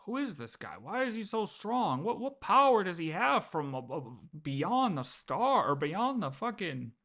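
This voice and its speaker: A streamer giving casual, off-the-cuff reaction commentary in a halting way, often repeating and rephrasing. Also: mid-range voice, big pitch swings, a bit bright. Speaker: livestreamer reacting to a video.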